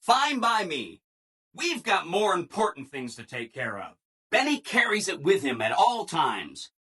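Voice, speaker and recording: An actor playing a relentlessly cheerful, eager-to-please robot assistant in a video game, chirpy and over-eager, with exaggerated emphasis. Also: male voice, sits high, wildly swooping pitch, a little slow, sharp tone, husky, exaggerated voice. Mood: gleeful, eager, then chipper, explaining. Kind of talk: animated video game character dialogue.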